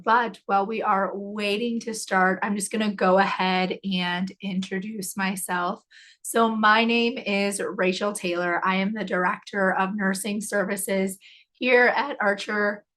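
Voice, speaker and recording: A woman's voice: medium pitch, a bit bright. A woman hosting a live nursing webinar, upbeat and reassuring, her voice scratchy because she is losing it. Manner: upbeat, reassuring, filler-heavy.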